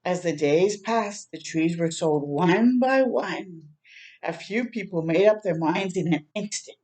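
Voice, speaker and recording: A woman reading a picture book aloud to young children in a gentle, expressive storytime manner. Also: on the low side, measured pace. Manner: gentle, expressive, prompting listeners with questions.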